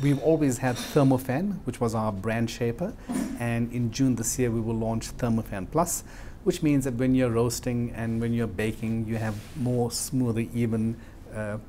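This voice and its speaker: A man's voice: medium pitch, mellow, slight background noise. A South African man in his forties answering interview questions as a marketing director. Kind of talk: on-camera interview. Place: office, indoors.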